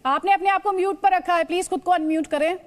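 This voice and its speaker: A woman's voice: very high-pitched, steady tone, fast talker. A woman anchoring a television news debate, speaking emphatically, repeating points and posing pointed rhetorical questions.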